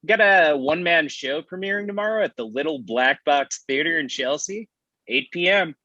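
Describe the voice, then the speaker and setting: A man acting as a breezy, smug thirty-something guy in a comedy read. His voice is husky and swings around in pitch, casual and glib, through a dull lo-fi microphone. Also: animated, normal speed, pushed and bright, no room sound. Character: glib, breezy thirty-three-year-old man, smug rival friend. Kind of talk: naturalistic comedy table read.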